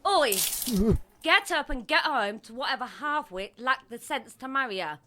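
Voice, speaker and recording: An actress playing a brassy, sharp-tongued Victorian tavern madam in a stage drama, bossy and brash. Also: female voice, sits high, animated, normal speed, noisy background. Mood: scolding. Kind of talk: theatrical stage drama acting.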